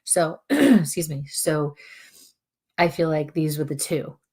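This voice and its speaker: A woman medium giving spirit messages on a live show in a calm, earnest way, her throat strained as she loses her voice. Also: low voice, takes it slowly, husky. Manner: calm, earnest, relays messages in repeated "I feel like" phrasing. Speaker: psychic medium and co-host. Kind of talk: live chat-room spirit readings.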